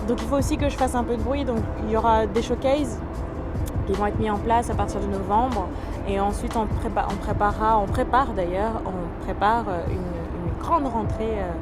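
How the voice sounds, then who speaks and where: A young woman from France, an artist talking casually in an interview about her stage show, thoughtful and hesitant with many fillers and restarts. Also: mid-range voice. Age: young adult. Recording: loud music playing under the voice.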